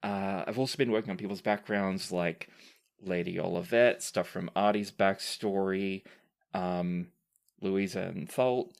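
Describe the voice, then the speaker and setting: A woman's voice: rumbling low, fairly even intonation, a little slow, vocal fry, a little mellow. A woman hosting and moderating a casual podcast question session as its game master.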